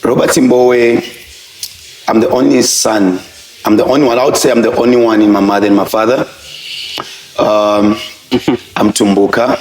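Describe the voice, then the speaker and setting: A man's voice: mid-range voice, fairly even intonation, moderate pace, long pauses, a little mellow. A man, a professional fighter, talking earnestly about his life as an interview guest. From Zambia. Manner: earnest, frequent "I would say" and "you know". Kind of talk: reflective interview talk. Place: distillery room. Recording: echoey room.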